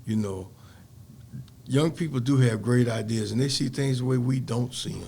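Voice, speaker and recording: A man's voice: medium pitch, normal speed, creaky, mellow, slight background noise. An older man, speaking earnestly and reflectively as a podcast interview guest. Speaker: guest, community organizer.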